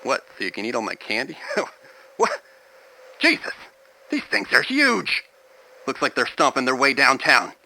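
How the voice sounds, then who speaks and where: An actor as a sharp, animated, wisecracking bomber pilot. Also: male voice, medium pitch, unhurried, long pauses, full character voice, rough recording. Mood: wry, joking. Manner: informal, joking. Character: wisecracking, reckless, brave male bomber pilot. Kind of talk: radio drama cockpit transmission. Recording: vehicle noise, cockpit radio feed.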